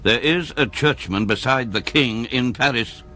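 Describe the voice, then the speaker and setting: Forceful, shrewd British governor. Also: male voice, medium pitch, measured pace, faint music behind. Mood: wary challenge. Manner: forceful, rhetorical. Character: shrewd, proud city governor, wary of power. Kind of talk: radio drama acting.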